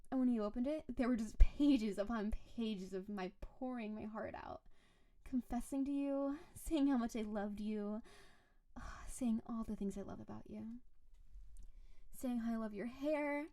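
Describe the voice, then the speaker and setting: A woman performs a lovestruck snow-spirit wife for a romantic audio roleplay. Her voice is fairly high yet soft and mellow, cooing endearments straight to the listener. She is doting and possessive, leaning dramatically on her words of devotion. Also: unhurried. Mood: adoring, obsessive.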